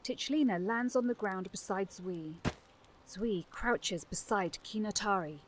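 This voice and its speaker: A woman's voice: mid-range voice, a little slow. Female narrator, fantasy audio drama, mid-range voice, slight background noise.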